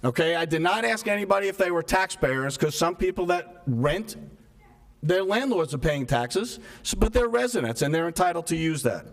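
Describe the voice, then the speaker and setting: Soft-spoken man, slightly high voice, resident at a council meeting's public comment, earnest, leans on rhetorical questions. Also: lively intonation, normal speed. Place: town hall meeting room. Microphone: microphone stopped working partway.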